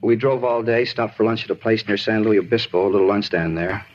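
An actor playing a talkative, disturbed murder suspect in a 1950s radio drama, rambling and insistent in an American accent. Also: male voice, lowish voice, fairly quick, a little hiss or hum, lightly acted. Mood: wistful, rambling. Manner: rambling, repetitive, insistent, plain-spoken. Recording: dull vintage radio recording.

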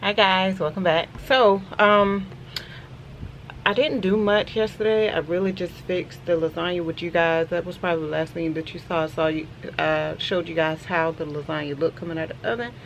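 A woman's voice: fairly low pitch, normal speed. Chatty woman on a noisy porch. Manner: relaxed, rambling, frequent fillers. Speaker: vlogger. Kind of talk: casual chat to camera. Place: outdoor porch of a house. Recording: outdoor camera audio with clear background noise.

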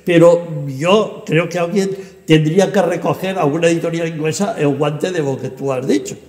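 High-voiced older man in an echoey room. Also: moderate pace, darkish tone. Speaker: podcast guest, translator and editor. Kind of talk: animated interview conversation. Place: indoor book-lined room. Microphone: lapel. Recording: echoey room with long reverb.

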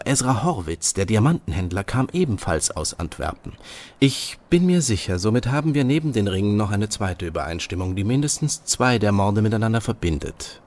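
An actor playing a brilliant, self-assured detective who lectures briskly about his deductions. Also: fairly low pitch, a little mellow. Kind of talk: audio drama acting.

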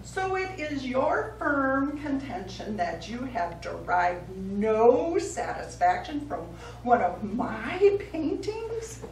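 High-voiced pompous eccentric lady painter. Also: sing-song, slow pace, gravelly, exaggerated voice. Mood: condescending. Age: middle-aged. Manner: florid, theatrical, grandiloquent. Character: pompous, easily wounded eccentric woman painter. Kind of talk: live stage comedy acting. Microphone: distant audience camera. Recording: echoey small theatre, distant mic, faint noise.

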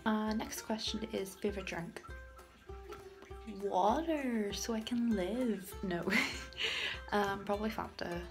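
A woman's voice: mid-range voice, takes it slowly, pauses often, music in the background. A young woman with a Northern Irish accent chatting casually to a phone camera in a small bathroom, giggling and dropping into whispered asides. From Northern Ireland. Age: young adult. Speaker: vlogger. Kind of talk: casual chat. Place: small tiled bathroom, indoors. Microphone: phone camera at arm's length.

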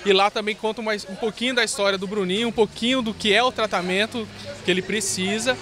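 A man's voice: high-pitched, fairly even intonation, quick pace. A Brazilian Portuguese man speaking hesitantly and gratefully into a handheld reporter mic outdoors at a street bazaar. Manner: hesitant, grateful, with many fillers. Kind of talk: interview answer. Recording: busy crowd noise behind.

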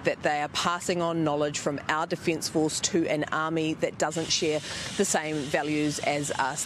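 A young woman with a New Zealand accent, a political editor giving a brisk live report from outside a parliament building. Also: low voice. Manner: brisk, clear explanatory reporting. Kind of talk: live news cross. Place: outdoors in front of a parliament building. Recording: outdoor noise with traffic.

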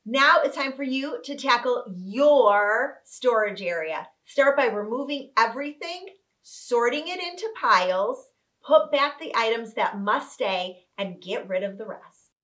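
This is a woman in her thirties, a home organizing vlogger, sitting on a couch indoors and talking to the camera. Her voice sits a little high, and she explains each step clearly in an upbeat, teaching manner. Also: animated, unhurried, fairly bright tone. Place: living room with couch, indoors.

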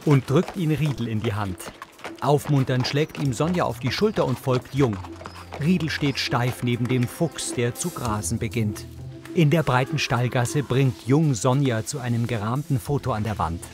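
A man's voice: mid-range voice, normal speed, over music. A man reading scripted audio description of a drama as its narrator.

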